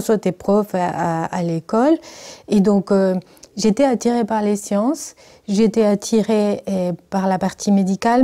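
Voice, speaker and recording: Middle-aged woman, researcher in a documentary interview, mellow and warm, pausing often as she speaks. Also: medium pitch. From Argentina. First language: Spanish. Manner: hesitant, many fillers, thoughtful. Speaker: research engineer. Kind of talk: documentary interview, personal story.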